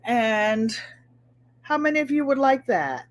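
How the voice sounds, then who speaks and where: A middle-aged woman with a nasal New England twang hosts a live crafting chat. She talks in an easy, unhurried way, her voice sitting a little high, and calls out to viewers by name between demonstrations. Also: very animated. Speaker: craft livestream host.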